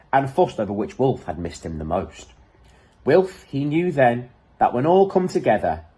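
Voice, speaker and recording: A man reads a children's story with dramatic emphasis. His voice is mid-pitched and husky, and his intonation swings in a lively, animated way. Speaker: storybook reader. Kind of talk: expressive children's story reading.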